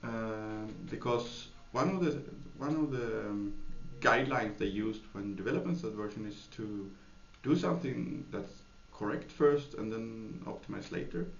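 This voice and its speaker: Gravelly man, echoey room. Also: on the low side, lively intonation, unhurried, few pauses. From Sweden. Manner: hesitant, many fillers and restarts. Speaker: software developer, interviewee. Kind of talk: thoughtful interview answers. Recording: echoey room, dull lo-fi sound, faint noise.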